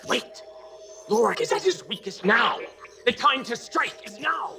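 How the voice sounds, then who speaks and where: An actor playing an impatient, fervent Klingon house lord in a video game cutscene, forceful and declamatory. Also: male voice, very high voice, expressive, unhurried, faint music behind, full character voice. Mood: urgent.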